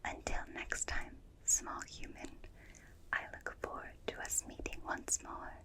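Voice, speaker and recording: A woman voicing a calm, formally polite alien examiner in a breathy, soothing ASMR roleplay whisper, speaking precisely into a close binaural microphone. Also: measured pace, natural voice. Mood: gentle, soothing. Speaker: ASMR performer.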